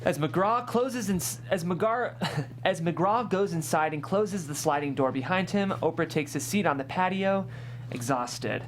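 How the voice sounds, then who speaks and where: A woman's voice: on the low side, expressive, measured pace, a little hiss or hum. A woman reading a screenplay's scene directions as scripted narration at a table read. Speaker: stage-direction reader.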